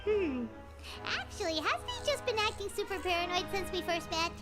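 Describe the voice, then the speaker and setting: Tiny, squeaky, excitable sidekick. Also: female voice, moderate pace. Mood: puzzled, probing. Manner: speaks of self in third person, chirpy. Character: small high-voiced fairy-like companion, childish, blunt and excitable. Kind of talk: video game voice acting. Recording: music behind the voice.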